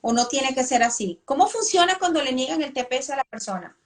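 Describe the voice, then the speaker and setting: A woman's voice: high-pitched, expressive, a little fast. A woman hosting a live interview, asking long, winding questions with many fillers. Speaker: host.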